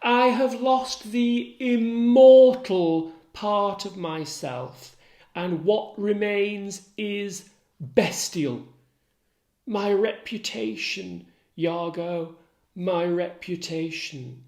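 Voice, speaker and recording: A man's voice: high voice, extremely slow, gravelly, a little mellow, reverberant, pitched above the performer's own voice, heavily acted. A man playing an anguished, disgraced soldier in a theatrical, declaimed stage lament. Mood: grieving. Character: Cassio, anguished disgraced soldier lamenting his lost reputation.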